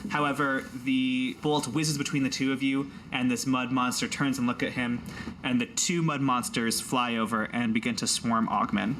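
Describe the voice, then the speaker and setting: A man's voice: medium pitch, steady tone, normal speed, a bit bright, a little hiss or hum, rough recording. A man running a tabletop fantasy game, narrating the action with casual, playful, vivid description. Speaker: game master.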